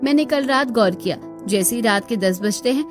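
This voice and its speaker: A woman's voice: fairly high pitch, normal speed, music in the background, close to an everyday voice. A woman voicing an outspoken, earnest young daughter-in-law in a Hindi cartoon story, speaking assertively and persuasively. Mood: questioning.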